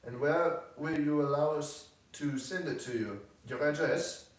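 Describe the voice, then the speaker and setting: A man voicing a young Frenchwoman painter from a novel, coquettish, flattering and shrewd, with effusive, formal phrasing. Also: on the high side, measured pace, very echoey, far from the mic, voice pushed up, close to an everyday voice, low-quality recording. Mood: eager, flattering.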